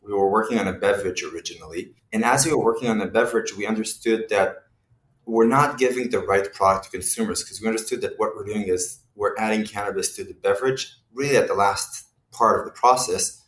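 Israeli guy, low voice, a bit far from the mic in an echoey room, talks it through with lots of ums. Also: a little fast, fairly bright tone. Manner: thoughtful, many fillers and restarts. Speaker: company CEO, guest. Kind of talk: interview answers.

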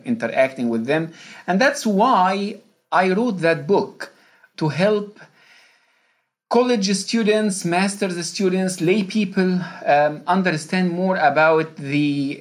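A man from Egypt, a professor, answering interview questions in a measured way, with many fillers and restarts. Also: fairly high pitch. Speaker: guest, professor of Islamic studies.

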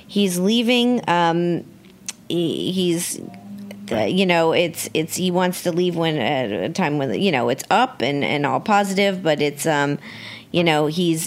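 A woman hosting a radio show, chatting casually as she interviews a guest. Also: on the low side. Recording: clearly noisy background.

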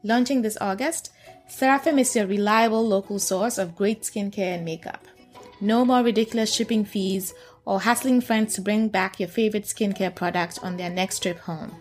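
A woman hosting a food podcast, reading a scripted intro smoothly and then chatting with her guest. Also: mid-range voice, moderate pace, faint music behind. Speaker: podcast host. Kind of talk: scripted intro and casual interview.

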